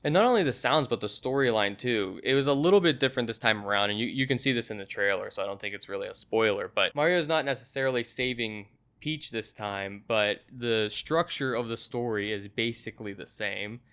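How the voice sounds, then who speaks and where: Smooth, clear-voiced man hosting a casual review. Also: medium pitch, fairly quick, hardly any pauses, fairly bright tone. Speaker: host of a movie review.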